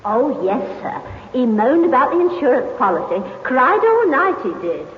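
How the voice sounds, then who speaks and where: High-voiced, gossipy landlady. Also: sing-song, moderate pace, slight background noise. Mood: plaintive. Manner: folksy, emphatic. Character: plaintive, gossipy female landlady. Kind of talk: 1950s radio drama acting. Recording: tinny echoey old radio recording.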